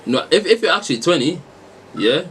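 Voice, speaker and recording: A man's voice: a little high, sing-song, a little fast, a bit bright. A nineteen-year-old man chatting with strangers over video, slangy and stammering, repeating words playfully. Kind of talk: casual video chat banter. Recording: noisy video chat audio.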